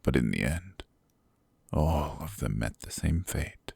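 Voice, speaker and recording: A man voicing a cold, sadistic, possessive demon king in an ASMR roleplay, talking slowly and mockingly in gravelly whispers close to the mic. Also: extremely low pitch, animated, a little mellow, no room sound, full character voice. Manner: slow, mocking, condescending. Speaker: voice actor.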